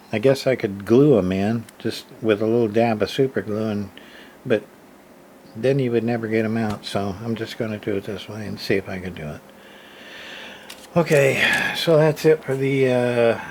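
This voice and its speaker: A man casually narrating hands-on repair work, thinking aloud in a rambling, halting way and muttering to himself. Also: lowish voice, darkish tone, poor audio. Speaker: hobbyist radio repairer. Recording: clear background noise, room ambience.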